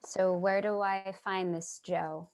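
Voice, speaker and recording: A woman's voice: medium pitch, level tone, slow. A woman playing a sympathetic, curious narrator in a play reading, speaking in an earnest, reflective way. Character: Charlie, sympathetic, curious woman narrating her street encounters. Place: remote video call reading.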